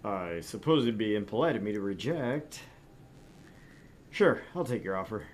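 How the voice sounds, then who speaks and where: A man's voice: fairly low pitch, big pitch swings, moderate pace, heavy background noise. A man voicing a polite, reserved male bar patron with a courteous, hesitant and formal way of talking.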